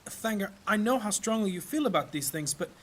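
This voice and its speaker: Husky, devout fatherly priest. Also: sits high, animated, a bit bright. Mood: earnest, pleading. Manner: measured, preaching. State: coughing, ailing. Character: earnest, devout old male priest, fatherly. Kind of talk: dramatic fantasy audio drama acting.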